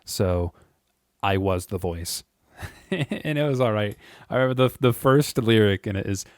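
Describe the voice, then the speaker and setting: A man with a deep voice, chatting casually and rambling to his viewers, with frequent chuckles and filler words. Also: a little slow, no echo, clean studio sound. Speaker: YouTube creator. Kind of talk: casual monologue to camera.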